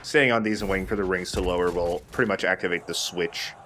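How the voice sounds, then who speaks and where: Low-voiced man, casual gaming commentator. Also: steady tone, fast, a bit bright, a little hiss or hum. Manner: chatty, explanatory, casual swearing. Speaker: let's play host and player.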